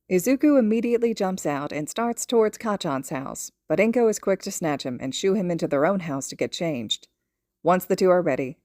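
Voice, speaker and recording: Female story narrator, lowish pitch, scripted read, dry recording. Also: darkish tone, no echo. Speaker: podfic narrator.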